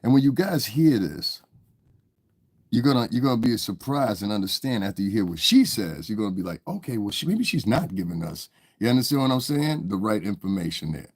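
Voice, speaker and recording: A man's voice: lowish voice, big pitch swings, brisk, darkish tone. A man with a stuffy cold, giving rambling casual livestream commentary to his viewers, often checking they understand. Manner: rambling, talks straight to viewers, often asks "you understand". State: congested from a cold.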